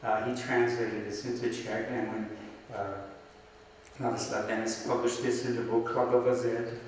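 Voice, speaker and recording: A man whose first language is Slovak, a poet giving a public reading, reading his poems slowly and deliberately and chatting between them with many fillers. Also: medium pitch, little pitch movement. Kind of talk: poetry reading with anecdotes. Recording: echoey hall, distant mic, faint noise.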